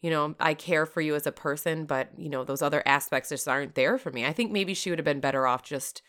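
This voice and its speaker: Low, smooth-voiced woman from Florida. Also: a bit bright. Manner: easygoing, agreeable, quick to respond. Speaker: podcast co-host. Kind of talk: casual chat.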